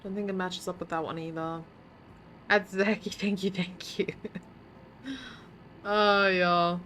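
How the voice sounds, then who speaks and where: A woman's voice: lowish voice, little pitch movement, slow, a bit bright, slight background noise. A woman streamer casually commenting on her game, muttering to herself while solving puzzles and chatting with viewers.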